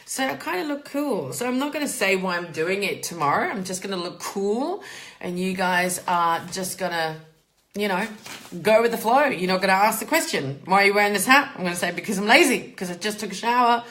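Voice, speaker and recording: A woman talks to her livestream viewers in an informal, chatty way. Her first language is German, yet her English carries a mix of English and Australian accents. She speaks at a medium pitch, swears freely and breaks off to say hello to people. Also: lively intonation, fairly quick, fairly bright tone, a little hiss or hum. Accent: mixed English and Australian. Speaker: host, mindset coach.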